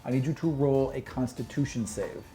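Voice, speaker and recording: A man's voice: mid-range voice, brisk. A man working as game master, narrating a tabletop fantasy game in a dramatic, descriptive way. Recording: loud music under the voice. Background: music.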